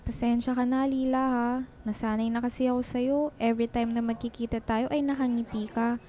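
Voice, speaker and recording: A woman's voice: fairly high pitch, level tone, fairly quick, dark tone, close to an everyday voice. An actress playing a supportive, frank best friend in a Tagalog radio drama, coaxing as she talks. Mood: consoling.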